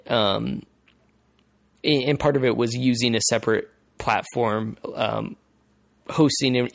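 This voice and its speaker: A male podcast host explaining things in casual conversation, haltingly, repeating phrases as he thinks aloud. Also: mid-range voice, vocal fry, no echo, clean studio sound.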